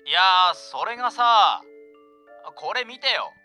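A man's voice: high-pitched, lively intonation, slow pace, bright, forceful tone, faint music behind, heavily acted. A man playing a careless, breezy young male student in an amateur radio drama. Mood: puzzled.

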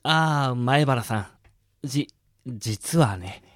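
A man's voice: medium pitch, expressive, slow, dry recording, studio quality. A man playing a pompous but easily cowed skeleton gym manager in a Japanese radio drama. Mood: sheepish. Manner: lecturing, self-deprecating, apologetic. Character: skeleton gym manager, pompous yet meek when scolded. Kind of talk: Japanese audio drama acting.

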